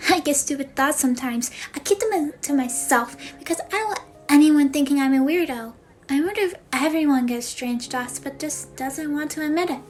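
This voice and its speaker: A woman's voice: sits high, a little fast, a little mellow, slight background noise, lightly acted. A woman playing a self-conscious young woman in a confessional monologue, rambling in a bashful, hesitant way. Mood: awkward, embarrassed. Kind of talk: confessional monologue for a voice acting demo reel.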